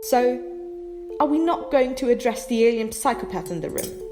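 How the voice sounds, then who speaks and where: A woman's voice: on the high side, lively intonation, moderate pace, music in the background, close to an everyday voice. A woman playing a bored, sarcastic young ghost granddaughter in an audio drama, flippant and teasing.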